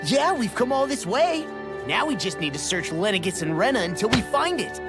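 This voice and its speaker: The animated, eager young brawler in a fantasy game party, score rising behind him. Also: male voice, sits high, moderate pace, loud music behind the voice. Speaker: video game voice actor. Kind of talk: dramatic game cutscene acting.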